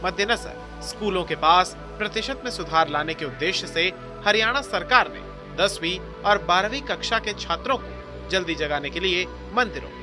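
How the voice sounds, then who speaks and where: A man's voice: sits high, expressive, normal speed, hardly any pauses. A man reading a scripted news report as the narrator. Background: music bed.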